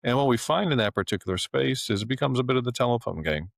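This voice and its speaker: A man giving an explanatory business talk as an advisor, speaking in a measured, articulate way. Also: medium pitch, animated, gravelly, no echo, studio quality. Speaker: family office advisor.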